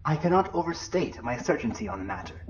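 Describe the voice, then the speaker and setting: A man's voice: fairly high pitch, big pitch swings, fast talker. Man voicing an officious ship's computer, dull and noisy. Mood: officious, matter-of-fact. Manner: precise, officious, wordy. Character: formal, pedantic, matter-of-fact shipboard computer. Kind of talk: science-fiction audio drama acting.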